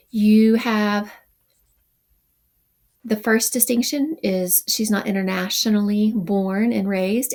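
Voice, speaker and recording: Woman reading and commenting on a text, gravelly. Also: medium pitch, a little mellow. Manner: reads passages then adds wry asides. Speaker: teacher.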